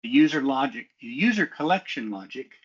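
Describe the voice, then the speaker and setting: A man's voice: mid-range voice, sing-song, a little fast, slightly rough, muffled recording. A man giving a methodical, explanatory technical walkthrough as a student developer, in a semi-scripted presentation style.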